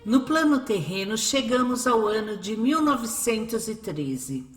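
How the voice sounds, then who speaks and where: A woman's voice: on the high side, expressive, measured pace, reverberant, soft background music. A woman with a Brazilian accent reading scripted narration for a radio drama.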